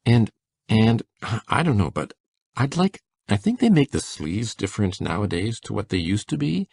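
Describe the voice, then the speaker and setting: A man playing a shy, flustered, gentle middle-aged country bachelor farmer in an audiobook drama, gravelly and stammering. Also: fairly low pitch, big pitch swings, moderate pace, no echo, clean studio sound, full character voice. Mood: shy, grateful. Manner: stammering, hesitant.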